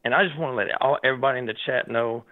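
A man from Tennessee calling in to a talk show, his voice hoarse and raspy, talking in a rambling, animated way and saying "man" often. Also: medium pitch, very fast. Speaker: caller. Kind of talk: casual call-in chat. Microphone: phone line. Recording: tinny phone line.

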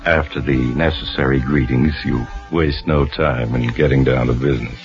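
An actor playing an ominous storyteller, narrating an old-time radio crime drama. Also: male voice, extremely low pitch, steady tone, normal speed. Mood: measured, ominous. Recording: dull old radio recording, music underneath.

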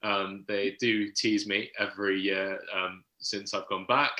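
A man's voice: on the low side, fairly even intonation, unhurried, pushed and bright. A young man from Hong Kong with a British accent, speaking as a guest in a casual remote interview, self-deprecating and articulate. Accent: British English. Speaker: guest, creative industry worker. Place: room at home. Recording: roomy home room.

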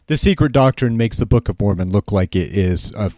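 A man's voice: fairly low pitch, lively intonation, moderate pace, dark tone, dry recording. An American man from Utah co-hosting a podcast in casual, joking conversation. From Utah, United States. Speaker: podcast co-host.

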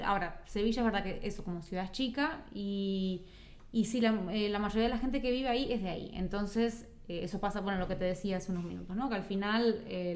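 A woman from Uruguay with a Uruguayan accent, talking casually as an interview guest. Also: medium pitch. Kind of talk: casual chat.

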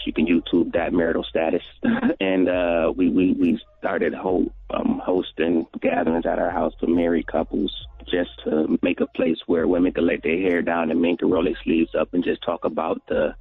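A man in his thirties, a guest telling his story in a casual interview with many halting restarts and fillers, heard over a phone line. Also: low-pitched, vocal fry, dry recording. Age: 30s.

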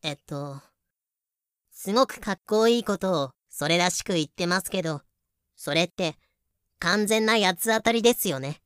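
A woman's voice: lowish voice, animated, normal speed, fairly bright tone, clean tone, no echo, clean studio sound. A woman playing a composed courtroom official in an audio drama, formal, polite and matter-of-fact. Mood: dryly blunt. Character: composed, logical courtroom judge and prosecutor. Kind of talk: Japanese audio drama acting.

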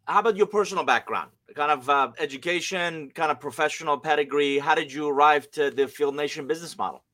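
A man's voice: fairly high pitch, fast talker, a bit bright, dry recording. A man hosting a business podcast, friendly and upbeat as he welcomes a guest and asks questions. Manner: upbeat and friendly, polished interviewer. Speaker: podcast host.

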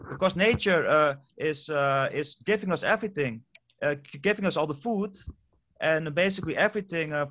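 A man from the Netherlands with a Dutch accent, a guest speaking English as a second language, talking haltingly with many fillers and repeated words. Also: a little high, bright, forceful tone. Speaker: guest, permaculture project founder. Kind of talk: casual interview answers.

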